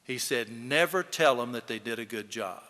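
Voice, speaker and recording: Man, bright forceful voice, folksy storyteller, eulogy speech, emphatic, long hall reverb. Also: mid-range voice, moderate pace. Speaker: eulogy speaker, fellow lawyer.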